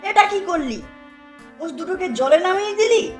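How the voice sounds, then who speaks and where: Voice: extremely high pitch, lively intonation, moderate pace, faint music behind. An actor playing an irritable young college man who complains indignantly in a cartoon. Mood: angry scolding. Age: young adult. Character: irritable young college man visiting his village home. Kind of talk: cartoon voice acting.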